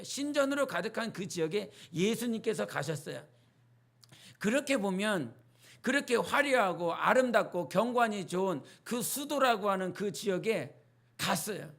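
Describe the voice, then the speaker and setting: High-voiced gravelly Korean pastor, North Jeolla accent. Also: male voice, fairly bright tone. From North Jeolla, South Korea. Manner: conversational storytelling preacher who asks the congregation questions. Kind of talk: midweek church sermon.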